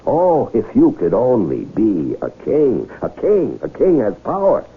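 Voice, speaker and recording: An actor playing a smooth-talking, goading male swindler in 1970s radio drama style, rhetorical and needling, with dramatic pauses. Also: medium pitch, huge pitch swings, heavily acted. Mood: tempting, conspiratorial. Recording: muffled 1970s radio broadcast recording.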